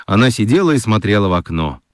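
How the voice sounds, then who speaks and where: Low-voiced, animated male storyteller. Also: quick pace, no room sound, studio quality. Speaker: narrator. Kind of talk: expressive scripted children's story narration.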